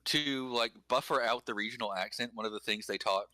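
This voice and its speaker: A man's voice: mid-range voice, a little fast, sharp tone, no echo. A man talking casually and articulately about pronunciation, with dry, wry humor. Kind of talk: casual conversation.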